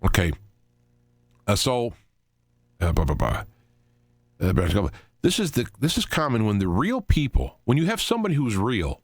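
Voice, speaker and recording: A man hosting a talk radio show solo, speaking off the cuff in a halting, rambling way, often repeating and restarting phrases. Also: mid-range voice, dry recording.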